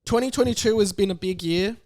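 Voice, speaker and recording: A man's voice: high-pitched, brisk, a little mellow. A young Australian man hosting a podcast, talking in a casual, chatty and emphatic way on his own. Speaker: podcast host. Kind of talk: casual solo podcast monologue.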